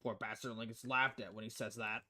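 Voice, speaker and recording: A man's voice: lowish voice, steady tone, fast, bright, forceful tone, voice pushed down. A man voicing a crass, cynical, money-loving newspaper editor in a visual novel, brash and foul-mouthed in manner. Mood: scornful.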